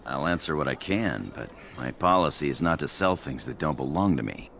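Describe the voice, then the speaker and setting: An actor playing a market merchant in a video game, with a salesmanlike, matter-of-fact manner. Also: male voice, rumbling low, expressive, a little fast, vocal fry, a bit bright, a little hiss or hum, exaggerated voice. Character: male market merchant hawking arms and armor.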